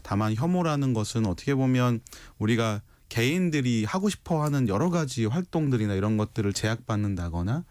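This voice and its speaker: A man in his forties answering interview questions in a measured, polite and thoughtful conversational way. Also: medium pitch, animated, a little mellow, no echo. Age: 40s. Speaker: interview guest, writer. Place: radio studio.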